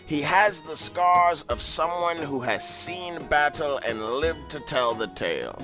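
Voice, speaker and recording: A man's voice: a little high, lively intonation, slow pace, music in the background. An actor playing a theatrical male keeper of tales who narrates from an afterlife tavern in a grandiose, sweeping manner.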